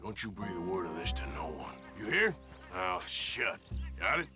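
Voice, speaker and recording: An actor playing a tough, blunt outlaw cowboy with a rural Western American drawl, in a video game. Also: male voice, medium pitch, expressive, moderate pace, over music, natural voice. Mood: furious threatening.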